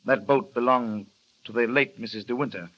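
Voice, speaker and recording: A man's voice: mid-range voice, normal speed, fairly bright tone, lightly acted. A man playing a tactful harbour master. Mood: grave. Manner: hesitant, tactful. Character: harbour master, middle-aged man, dutiful. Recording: lo-fi old radio broadcast.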